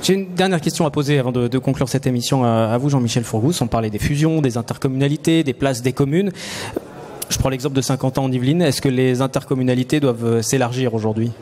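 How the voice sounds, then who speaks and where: French guy hosting a live debate show, talks fast, mellow relaxed tone. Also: mid-range voice. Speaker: programme host. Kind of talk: live panel show moderating.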